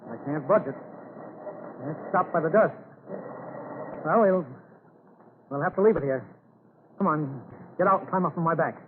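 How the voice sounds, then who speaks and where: An actor playing a steady, worried farmer father in an old-time radio drama, reassuring his family through hard times. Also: a little high, moderate pace, long pauses, lightly acted. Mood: urgent, steadying. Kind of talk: 1940s radio drama acting. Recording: scratchy tinny old radio recording.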